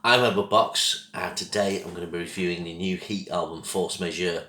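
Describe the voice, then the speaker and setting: A man hosting his own channel with a casual, rambling album review, his voice croaky from a throat infection, coughing now and then. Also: sits low, steady tone, moderate pace, pushed and bright, echoey room, a little hiss or hum. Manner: rambling, loses track of names, apologetic.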